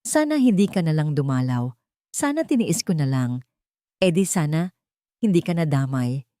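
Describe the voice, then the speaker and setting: A woman's voice: deep voice, very animated, moderate pace, dark tone, no echo. A woman narrator plays a distraught young wife in an audio love story. She is tearful and ashamed, taking all the blame on herself. The sound is close and dry. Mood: tearful, guilty. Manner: tearful, self-reproaching.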